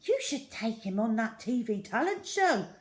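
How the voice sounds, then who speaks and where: A woman voicing a blunt young schoolgirl bully who gushes excitably, in a children's storybook reading. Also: on the high side, normal speed, voice pushed up. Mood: amazed. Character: tough schoolgirl bully turned tearful, eager new friend.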